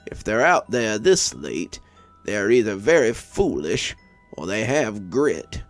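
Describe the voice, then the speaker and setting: A man voicing a grave, watchful army sentry with a measured manner in an audio story. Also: fairly low pitch, big pitch swings, dry recording, faint music behind, exaggerated voice. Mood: grave warning.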